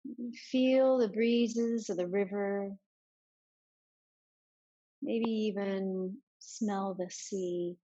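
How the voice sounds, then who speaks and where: A woman leading a guided meditation as a yoga teacher, giving soft instructions with many pauses. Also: medium pitch, fairly even intonation, highs cut off.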